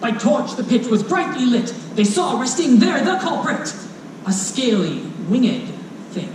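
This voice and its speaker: A distant, echoing live recording of a storyteller reading rhymes for children. The delivery is slow, playful and theatrical, with big swoops in pitch. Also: lively intonation, a little mellow. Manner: playful, dramatic, drawn-out delivery with asides. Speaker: storyteller performing a children's book. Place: live performance room at a convention. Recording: roomy, distant live hall recording with noise.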